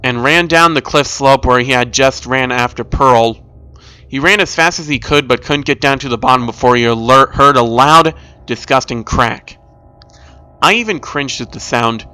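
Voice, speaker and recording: A man's voice: mid-range voice, moderate pace, sharp tone, smooth, no room sound. A man reading a scripted first-person horror story as its narrator.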